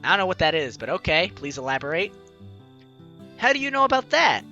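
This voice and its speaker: Animated young man with a bright voice. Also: a little high, brisk, muffled recording. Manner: animated, playful. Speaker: let's play host. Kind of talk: casual game commentary, reading dialogue aloud. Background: game music.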